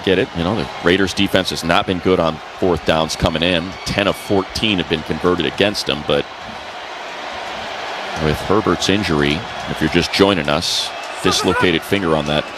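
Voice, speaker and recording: A man's voice: deep voice, background noise. A man doing live football play-by-play on the radio. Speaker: play-by-play announcer. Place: open stadium broadcast booth. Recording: loud stadium ambience behind. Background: loud stadium ambience.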